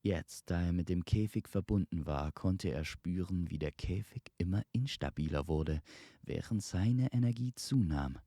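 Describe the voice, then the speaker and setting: A man narrating a fantasy audio story in a measured, solemn, dramatic storytelling style. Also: low-pitched, dark tone, clean studio sound. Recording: reverberant, with noisy ambience behind.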